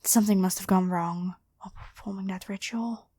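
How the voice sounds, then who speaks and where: Woman acting as evil Egyptian pharaoh queen, ancient, haughty, controlling, theatrical delivery, medium pitch, dry close recording, ASMR roleplay. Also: steady tone, fairly quick, mellow, no room sound, lightly acted. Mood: imperious, questioning. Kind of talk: scripted ASMR roleplay monologue.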